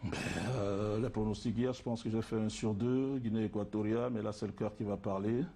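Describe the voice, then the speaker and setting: A man from Cameroon giving football predictions in relaxed studio talk, hesitant and full of fillers and asides. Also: on the low side, brisk. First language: a Cameroonian language. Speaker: football pundit.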